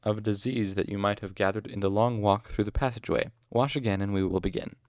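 A man's voice: on the low side, steady tone, fast talker, no room sound, lightly acted. A man voicing a wry, stern older male master physician who teases his students. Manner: dry, teasing, stern.

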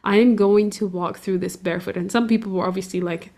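A woman's voice: mid-range voice, fairly even intonation, fairly quick, warm tone. A young woman from Portugal chatting casually, rambling with lots of fillers and restarts. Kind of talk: casual conversation.

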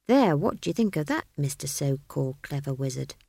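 A woman voicing a stubborn, sharp-witted young country girl in an audiobook story, blunt and challenging in manner. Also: low voice, big pitch swings, fairly quick, creaky, breathy edge, no echo, heavily acted. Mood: defiant, surprised.

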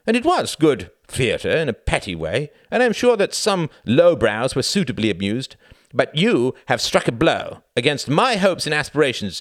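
A man's voice: fairly low pitch, fairly bright tone, no echo, clean studio sound, voice pushed up. A man voicing a haughty, spiteful, well-educated young upper-class brother in an audiobook, theatrical, sneering and condescending. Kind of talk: audiobook character acting.

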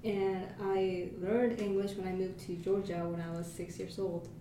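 A woman's voice: mid-range voice, level tone, normal speed, mellow. A young woman from Bolivia, a native Spanish speaker, chatting eagerly on a student podcast with many fillers. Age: young adult. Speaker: student co-host. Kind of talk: casual podcast chat. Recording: distant mic, echoey room, noise.

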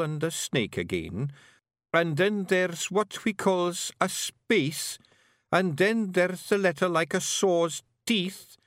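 Give me a man doing a cocky little Scottish fairy chief, loud and blustering. He bosses his clan around, his pitch leaps about, and the sound is close and dry. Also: no echo, heavily acted. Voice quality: broad, blustering, quick to snap. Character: boastful little male fairy clan leader.

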